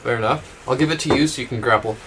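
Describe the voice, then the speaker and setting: A man's voice: mid-range voice, fast talker. A man talking casually as a player at a tabletop role-playing game. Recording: noticeable background noise with clicks.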